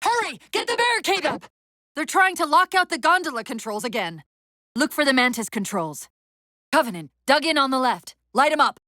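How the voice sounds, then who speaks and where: A woman voicing a video game's female AI companion, an urgent, wry military guide with crisp, technical, commanding delivery. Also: high-pitched, sing-song, normal speed, pushed and bright, no echo, clean studio sound, full character voice. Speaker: video game voice actor. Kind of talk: video game dialogue, tactical callouts.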